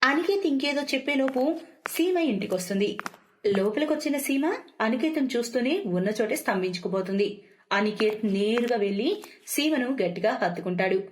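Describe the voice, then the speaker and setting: A woman's voice: on the high side, expressive, normal speed, a little hiss or hum. A woman storyteller reading scripted narration for a moral story.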